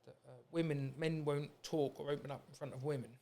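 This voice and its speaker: A man's voice: a little high, steady tone, brisk, vocal fry, dark tone. A British man hosting a podcast in a casual, rambling, anecdotal way. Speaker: podcast host. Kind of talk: casual chat.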